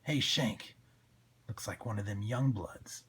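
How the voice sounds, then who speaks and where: A man voicing a sadistic, cocky street thug from a comic book in a breathy, rasping voice. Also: lowish voice, slow, a little hiss or hum, voice pushed down. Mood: cocky threat.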